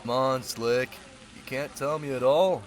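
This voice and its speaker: A man's voice: medium pitch, steady tone, unhurried, lightly acted. A man playing a friendly, sociable guy in an audio roleplay, talking in a casual, chummy way. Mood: casual, curious.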